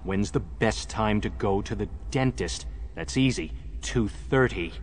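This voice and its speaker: An actor playing a stern, brooding masked crime fighter in a children's audio drama, deliberate and dry. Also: male voice, fairly low pitch, slight background noise, lightly acted. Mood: thinking hard.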